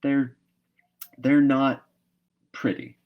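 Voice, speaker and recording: A man's voice: mid-range voice, completely flat delivery, drawn-out words, muffled recording, pitched above the performer's own voice. Young orphan girl for a storybook, read by a man: imaginative, wistful, earnest, given to dramatic pleading. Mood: reluctant, sober.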